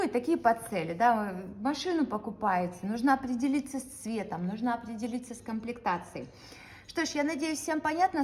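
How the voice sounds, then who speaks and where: Higher-voiced woman leading a guided practice. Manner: talks with hesitant "a" fillers; addresses viewers directly. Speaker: YouTube channel host. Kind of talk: guided goal-setting practice.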